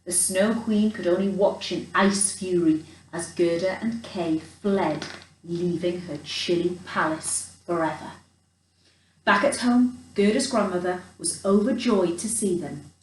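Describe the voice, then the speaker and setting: A woman reading a storybook to children in a theatrical, expressive way, adding chatty asides. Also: fairly low pitch, measured pace, a little hiss or hum. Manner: theatrical, dramatic emphasis, chatty asides to young listeners. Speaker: children's storyteller in character as a Christmas elf. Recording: roomy echo, distant from the mic.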